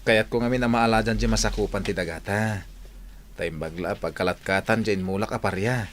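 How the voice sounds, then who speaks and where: A man's voice: fairly low pitch, steady tone, brisk, a little hiss or hum, close to an everyday voice. An actor with an Ilocano accent playing an earnest younger brother defending his inheritance in a radio drama. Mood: protesting. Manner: earnest, protesting.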